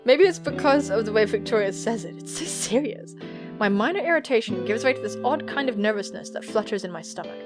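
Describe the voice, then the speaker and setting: A woman's voice: fairly high pitch, lively intonation, a little fast, over music, natural voice. A woman voicing an earnest, nervous student girl who narrates her thoughts in a visual novel game. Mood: frustrated.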